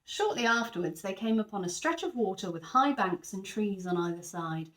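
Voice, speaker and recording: A woman's voice: medium pitch, lively intonation, normal speed, a bit bright, reverberant. A woman narrating a picture book expressively for young children, stressing key words as she goes. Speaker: teacher reading a children's picture book aloud. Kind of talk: expressive scripted story narration.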